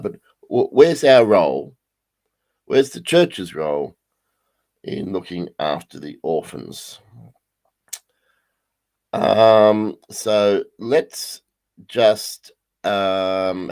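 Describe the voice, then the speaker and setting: A male pastor with a croaky voice leading a livestreamed Bible study, halting with many ums and restarts. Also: fairly low pitch, darkish tone.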